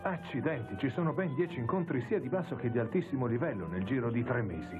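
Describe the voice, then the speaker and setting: A man as an earnest, animated young cartoon guy. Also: mid-range voice, fast talker, natural voice. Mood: indignant surprise. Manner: earnest, expressive. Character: earnest, excitable young male judo follower. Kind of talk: cartoon dubbing. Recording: narrow phone-like dub with music behind.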